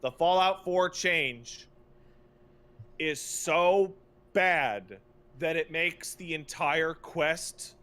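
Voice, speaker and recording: A man's voice: fairly high pitch, drawn-out words, pushed and bright, clean tone. A man co-hosting a podcast, ranting casually about video games in an emphatic, exasperated, profane way. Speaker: podcast co-host. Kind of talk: casual animated rant about video game plots.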